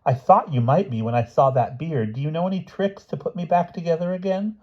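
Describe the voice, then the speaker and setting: A man's voice: on the high side, a little fast, muffled recording, pitched above the performer's own voice, natural voice. A man voicing an earnest, chatty young girl in a storybook, with eager, artless phrasing.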